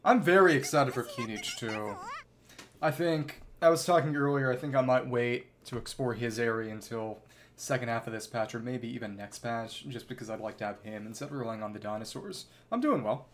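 A man's voice: mid-range voice, fairly quick, a little hiss or hum. A man chatting casually as he plays a game on a livestream. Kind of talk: casual livestream gameplay chat and reading along.

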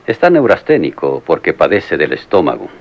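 A deep-voiced police lieutenant. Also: male voice, wildly swooping pitch, heavily acted. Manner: courteous, measured. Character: police lieutenant, polite and determined. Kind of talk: 1950s-style radio drama acting. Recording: dull, noisy old radio recording.

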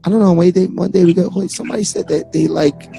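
A man's voice: sits high, fast talker, creaky, music in the background. A middle-aged man, hosting a casual live-stream interview with joking banter, on a studio mic in a small home studio. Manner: joking, laid-back banter. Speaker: host. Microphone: handheld studio mic on a stand.

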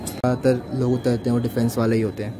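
A man filming a travel vlog on a moving train, excitedly pointing out the views to his viewers. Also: mid-range voice, fast talker. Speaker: travel vlogger. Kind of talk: casual travel vlog commentary. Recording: loud train and outdoor noise.

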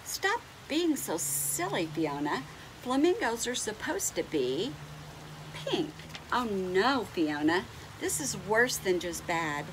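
A fussy flamingo from a children's storybook, played by a woman, scolds and exclaims. The pitch leaps on the stressed words, the pace is leisurely, and there is noise in the background. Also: sing-song, slow pace, rough recording. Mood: exasperated. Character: scolding, fussy flock of young flamingo friends.

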